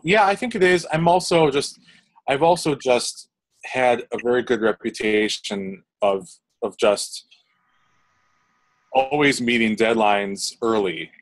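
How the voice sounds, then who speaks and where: A man's voice: medium pitch, rough recording. Rambling young man with frequent restarts. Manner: rambling, many restarts and fillers. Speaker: composer interviewee. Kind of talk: casual interview answers.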